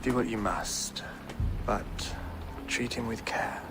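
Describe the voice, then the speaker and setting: A man's voice: on the low side, over music. An actor playing a dignified, pious Saxon king in a video game, formal and dryly haughty. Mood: grave. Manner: measured, regal, formal. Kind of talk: dramatic video game acting.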